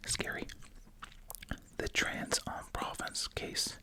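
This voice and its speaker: An ASMR host speaking in a breathy, unvoiced whisper, reading aloud and musing casually. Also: takes it slowly, pushed and bright.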